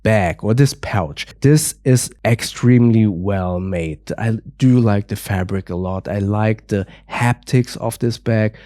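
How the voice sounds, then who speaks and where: A man's voice: lowish voice, warm tone, dry recording, clean studio sound. A man with a stuffy nose from a cold, reviewing gear in a careful, methodical way with frequent small hedges. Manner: careful, methodical, hedging with "a little bit". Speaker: product reviewer.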